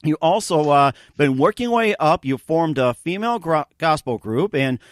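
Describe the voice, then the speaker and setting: A man hosting an interview show, speaking in a halting, rambling way as he does promos and questions. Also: on the high side, expressive, no echo, studio quality. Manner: halting, rambling, filler-heavy. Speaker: radio show host and interviewer.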